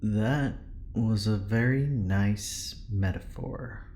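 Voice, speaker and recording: A man playing a dry, unhurried onlooker in an audio drama. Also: on the low side, a little mellow, slight background noise.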